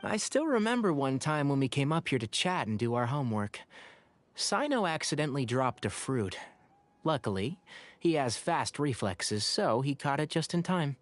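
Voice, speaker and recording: Man as young scholar, mid-range, lilting, gentle, amused, good-humored, game dialogue. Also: mid-range voice, very animated, moderate pace, natural voice. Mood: nostalgic. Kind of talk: game voice acting.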